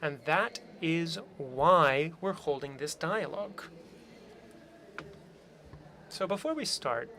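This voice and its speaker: A man interpreting a conference panel into English, speaking in measured short phrases. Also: fairly high pitch, animated, bright, forceful tone, slight background noise.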